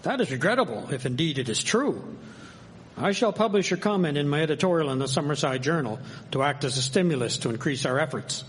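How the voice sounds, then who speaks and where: A man's voice: fairly high pitch, animated, fairly quick, fairly bright tone, low-quality recording. A man playing an earnest, civic-minded nineteenth-century newspaper editor in a historical radio drama, speaking in formal, old-fashioned phrases. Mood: proudly indignant.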